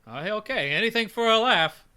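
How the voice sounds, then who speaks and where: A man's voice: sits high, expressive, normal speed, bright, forceful tone, clean tone, heavily acted. A man playing a sheepish, joking working-class husband and technician in a radio comedy, hesitant and folksy. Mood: amused, curious. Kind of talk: old-time radio comedy acting.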